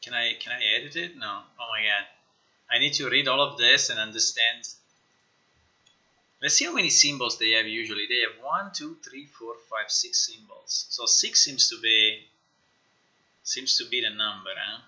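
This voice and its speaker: Sharp-voiced man chatting on a livestream. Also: mid-range voice, normal speed, muffled recording. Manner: informal, excitable, repeats words and phrases. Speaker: live streamer, game developer. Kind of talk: casual livestream chat, thinking aloud while working.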